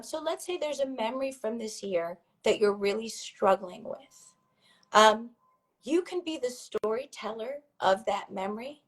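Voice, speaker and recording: A woman from Hong Kong and Brazil, a filmmaker guest, explaining her ideas thoughtfully in a hoarse voice. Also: on the high side, rough recording. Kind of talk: interview answer.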